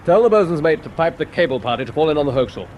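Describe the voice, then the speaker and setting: An actor playing a firm, composed British destroyer captain in a radio drama, measured and authoritative. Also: male voice, on the high side, lively intonation, darkish tone, a little hiss or hum, close to an everyday voice. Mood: composed, brisk.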